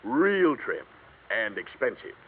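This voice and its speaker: An actor playing a wry, chatty insurance agent in a 1950s radio drama. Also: male voice, mid-range voice, very animated, takes it slowly, slight background noise. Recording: tinny lo-fi 1950s radio broadcast.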